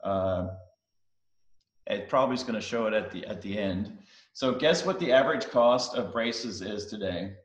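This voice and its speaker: A middle-aged man from Denmark whose first language is Danish, giving a casual, halting talk on a video call with many fillers and restarts. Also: lowish voice, expressive. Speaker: guest speaker. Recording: roomy echo on a video call.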